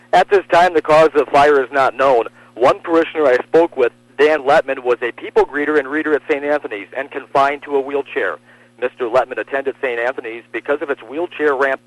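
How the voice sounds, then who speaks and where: A man's voice: mid-range voice, a little fast, dry recording, rough recording. An American man reading scripted radio news as a reporter and anchor.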